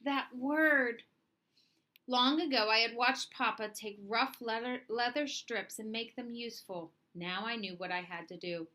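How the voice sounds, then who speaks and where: A woman reading a children's story aloud in an expressive narrating style. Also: fairly high pitch, normal speed, few pauses. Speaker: storybook reader.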